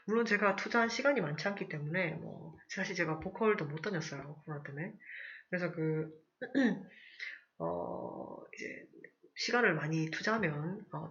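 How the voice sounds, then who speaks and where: A Korean-speaking English conversation teacher in a casual live online class. The teacher clears their throat often, uses many fillers, and reads example sentences aloud slowly. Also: mid-range voice, fairly bright tone, dull audio.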